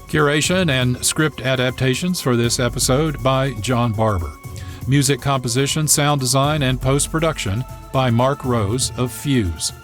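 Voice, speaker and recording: A man reading scripted programme narration as a radio host, measured and formal. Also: medium pitch.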